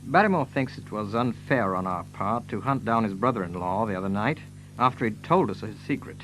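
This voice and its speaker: An actor playing a hot-blooded, plain-spoken young baronet in an audio drama, blunt and hearty in manner. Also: male voice, fairly low pitch, lively intonation, fairly quick, fairly bright tone, slight background noise, poor audio. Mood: decisive.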